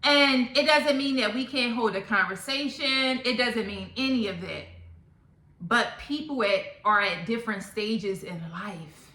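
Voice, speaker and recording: A woman's voice: on the high side, lively intonation, a little slow, bright, forceful tone. A woman in her thirties giving a casual opinion monologue to viewers, blunt and emphatic, often repeating points for emphasis. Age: 30s. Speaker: topic channel vlogger. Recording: echoey room.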